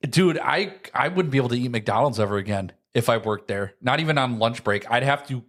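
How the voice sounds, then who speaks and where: A man's voice: mid-range voice, animated, a little fast, a bit bright. Rambling American man in his early thirties. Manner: rambling, frequent "like". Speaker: podcast co-host. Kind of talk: casual chat.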